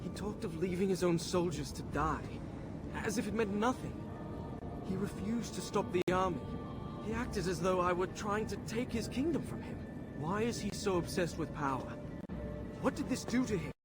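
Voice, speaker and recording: A man's voice: sits high, normal speed, lightly acted. Video game hero male actor: young prince, raised urgent voice, sincere and stubborn, heavy music bed. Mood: troubled, determined. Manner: earnest, urgent, questioning. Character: young heroic prince, earnest, loyal, headstrong younger brother. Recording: loud music under the voice. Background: loud game score.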